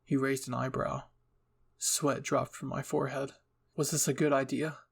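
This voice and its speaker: A man narrates a scripted story in a dramatic reading style. His voice sits at a middle pitch with a noticeable creak. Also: little pitch movement, moderate pace. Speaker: narrator, fan fiction reader.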